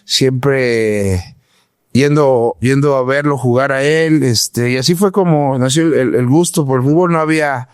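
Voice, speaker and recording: A man in his forties from Mexico City with a Mexican Spanish accent, telling his story as a podcast guest, halting and full of fillers. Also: mid-range voice, clear, resonant tone, no echo, clean studio sound. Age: 40s. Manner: halting, many restarts and fillers. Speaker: guest, former pro footballer. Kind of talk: casual podcast interview.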